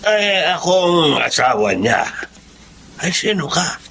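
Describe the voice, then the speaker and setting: A man playing a plain-spoken, gravelly older husband in a radio drama. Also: fairly high pitch, very animated, slow, sharp tone, slight background noise, full character voice. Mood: curious. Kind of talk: Filipino radio drama acting.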